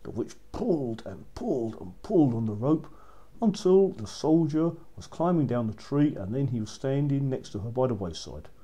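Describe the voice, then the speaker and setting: A man's voice: medium pitch, big pitch swings, normal speed, dark tone, breathy edge. A man narrating a fairy tale for children as a storyteller, repeating phrases for effect.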